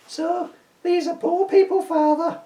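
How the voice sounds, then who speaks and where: A man's voice: extremely high pitch, flat delivery, a little slow, mellow, pitched above the performer's own voice. A man voicing a naive, earnest teenage boy in a comedy sketch reading. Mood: innocent wondering. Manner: earnest, wondering. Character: naive, earnest fourteen-year-old boy questioning his father. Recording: roomy echo, distant mic.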